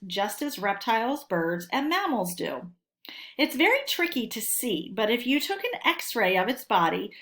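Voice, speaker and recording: A woman teacher reading a lesson aloud for children in a deliberate, instructional way, posing questions and answering them. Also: on the high side.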